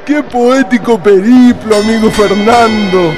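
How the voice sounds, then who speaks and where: Very high-pitched idealistic young poet, acted by a man. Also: slow pace. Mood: poetic, admiring. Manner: declamatory, florid. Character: idealistic, poetic young male writer founding a colony. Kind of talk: radio drama acting. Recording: music behind the voice.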